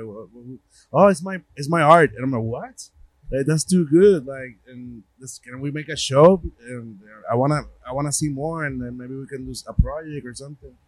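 A man's voice: mid-range voice, no room sound, poor audio. A man with a Puerto Rican accent, a native Spanish speaker, telling stories casually as an interview guest, often repeating words as he searches for phrasing. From Puerto Rico. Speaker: gallerist guest.

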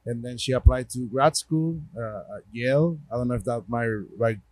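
Puerto Rican man, halting casual storyteller. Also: medium pitch, darkish tone, no room sound. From Puerto Rico. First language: Spanish. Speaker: gallerist guest.

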